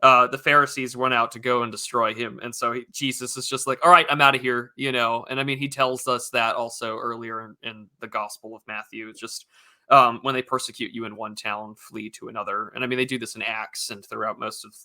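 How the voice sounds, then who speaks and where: Host, male, strikingly bright and sharp tone, casual musing delivery with wry asides. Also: mid-range voice, level tone, fairly quick. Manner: thinks aloud with restarts and wry asides. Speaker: podcast host. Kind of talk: casual podcast conversation.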